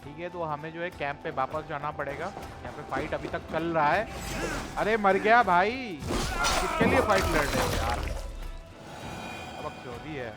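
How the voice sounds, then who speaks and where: A man's voice: on the high side, expressive, rapid-fire. A man with an Indian accent giving informal, chatty commentary as he plays a video game. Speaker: gamer, walkthrough host. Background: game music and sounds.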